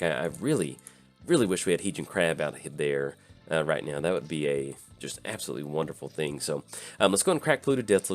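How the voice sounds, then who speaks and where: A tired man with a slightly raspy voice, hosting and casually commenting on his own card game as he plays, thinking aloud with lots of pauses. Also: low voice. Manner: easygoing, thinks aloud with many filler pauses. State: tired from little sleep. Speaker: channel host.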